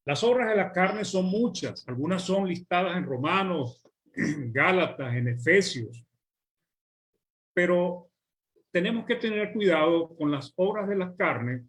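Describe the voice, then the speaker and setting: Male Venezuelan preacher, somewhat high voice, slow emphatic sermon delivery. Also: lively intonation, fairly bright tone. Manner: measured, list-like preaching with drawn-out words.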